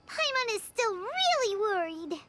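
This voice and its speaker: A woman voicing a tiny, excitable, childlike female fairy companion with a squeaky voice in a video game. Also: exaggerated voice. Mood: worried. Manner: refers to self in third person, exclamatory. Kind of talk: video game cutscene voice acting.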